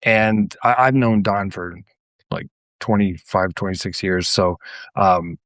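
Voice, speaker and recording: A man's voice: sits low, takes it slowly, fairly bright tone, dry recording, studio quality. A man speaking casually as a guest on a music podcast.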